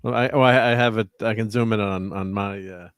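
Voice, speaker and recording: A man co-hosting a casual livestream chat over a video call, easygoing and halting, chuckling along. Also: lowish voice, steady tone, fairly bright tone, clean tone. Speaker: co-host.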